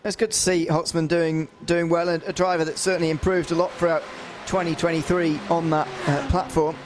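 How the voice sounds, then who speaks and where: Man, co-commentator, slightly high voice, hesitant, lots of fillers, noisy audio. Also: little pitch movement, fairly quick. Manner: hesitant, many fillers and repeats. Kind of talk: race commentary analysis.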